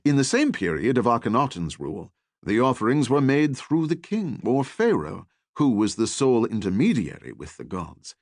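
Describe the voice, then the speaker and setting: A man's voice: mid-range voice, very animated, moderate pace, no echo, clean studio sound. A man voicing an eager elderly archaeology professor, courteous and scholarly, who lectures with animation in an audiobook.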